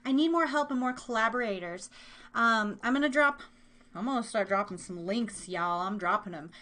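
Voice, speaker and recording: High-pitched woman hosting a casual live stream. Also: lively intonation, normal speed, bright, forceful tone. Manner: informal, rambling, thinking aloud. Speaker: podcast and live stream host. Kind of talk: casual live show chat.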